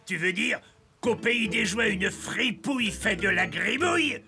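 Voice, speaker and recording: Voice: mid-range voice, lively intonation, measured pace, pushed and bright, faint music behind. A voice actor playing a hearty toy pirate captain with an exaggerated sailor's drawl in a children's cartoon. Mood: puzzled.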